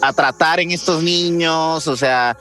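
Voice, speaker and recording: A man's voice: fairly high pitch, measured pace, sharp tone. A man with a Mexican accent co-hosting a podcast in casual, slangy chat. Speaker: podcast co-host. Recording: music behind the voice.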